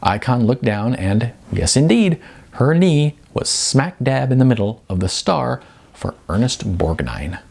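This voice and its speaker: A man reading a novel as its author, in expressive audiobook narration with deliberate, emphatic phrasing. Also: medium pitch, gravelly, slightly rough.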